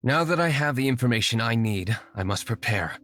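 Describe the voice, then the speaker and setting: An actor playing a stern, composed nobleman in a video game, speaking in a calm, menacing way. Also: mid-range voice, fairly quick, no room sound, lightly acted. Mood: cold. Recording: game audio with music behind.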